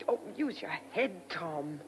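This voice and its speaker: A woman's voice: sits low, very animated, slow, a crowd in the background, full character voice. A woman playing an anxious young farm woman in a radio drama, earnest and urgent.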